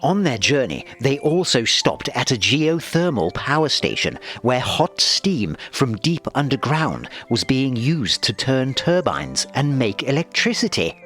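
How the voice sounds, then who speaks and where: Male children's narrator: measured pace, lively intonation, expressive storybook read with light music underneath. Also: mid-range voice.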